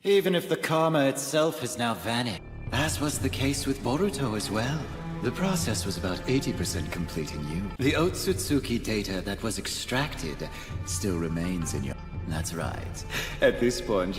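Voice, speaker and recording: An actor playing a haughty, sinister alien demon in an anime dub, speaking in a smug, formal, taunting way. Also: male voice, mid-range voice, lightly acted. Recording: echoing voice under clear music.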